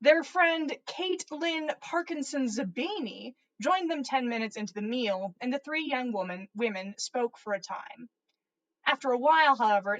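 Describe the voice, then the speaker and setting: A woman's voice: a little high, low-quality recording. A woman reading fan fiction aloud as a podcast host, narrating with playful, theatrical emphasis. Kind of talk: dramatic story narration.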